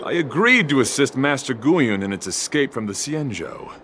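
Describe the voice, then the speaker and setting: A man voicing a chivalrous, high-minded knight devoted to beauty in a video game, speaking formally and with theatrical earnestness. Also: sing-song, normal speed, noisy background. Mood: courteous, earnest. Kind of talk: video game character acting. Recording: game music behind the voice.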